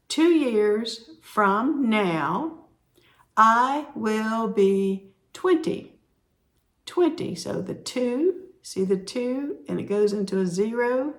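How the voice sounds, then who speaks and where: A woman teaching sign language, explaining each sign slowly and repeating the words as she demonstrates. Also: on the high side. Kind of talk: slow instructional explanation.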